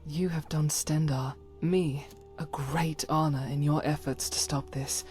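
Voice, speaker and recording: A woman's voice: sits low, steady tone, a little slow, darkish tone. Woman acting as a solemn, devout fantasy warrior woman, music behind. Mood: warm, reverent. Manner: formal, archaic, earnest phrasing. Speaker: voice actor for a game companion. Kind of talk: dramatic scripted fantasy game dialogue.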